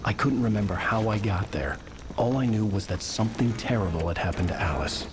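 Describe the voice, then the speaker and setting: An actor playing a troubled, quick-tempered male writer who narrates his own story in a video game. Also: lowish voice, expressive, background noise, lightly acted. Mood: brooding. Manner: brooding, introspective narration. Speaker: narrator and main character. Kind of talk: video game voice acting with first-person narration.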